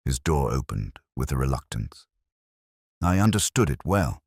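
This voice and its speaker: A man reading a scripted first-person mystery story in a measured, literary and brooding manner. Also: extremely low pitch, sing-song, darkish tone, slightly rough, dry recording, studio quality. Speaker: narrator.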